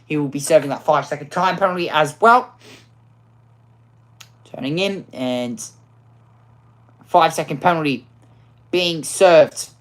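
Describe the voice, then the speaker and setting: A woman with a low voice gives live commentary on a racing game. She gets excitable and often restarts and repeats phrases. Her voice sounds worn out. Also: a little slow, long pauses.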